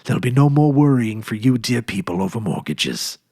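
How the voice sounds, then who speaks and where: A hearty young frontier brother, home at last after years away, talks in an easy, rolling way in a storybook reading, as a man puts him on. His voice is slightly husky and lively in pitch, recorded close and dry. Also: fairly quick, no echo. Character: adult brother, warm hearty prospector back home.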